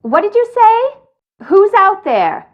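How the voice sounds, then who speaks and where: An actress playing a high-strung, irritable, squeamish woman boss in a video game, commanding and exasperated. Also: very animated, takes it slowly, highs cut off, heavily acted. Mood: suspicious.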